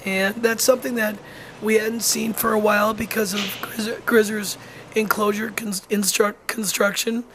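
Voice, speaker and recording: A hoarse wolf center educator who is losing their voice, narrating a video informally with many pauses, ums and restarts. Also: mid-range voice, flat delivery, noisy background. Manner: halting, frequent ums and restarts.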